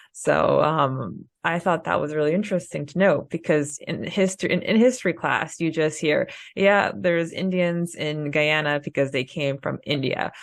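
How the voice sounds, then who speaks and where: Casual podcast co-host: woman, slightly low voice, very dry recording. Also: vocal fry, no room sound. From Canada.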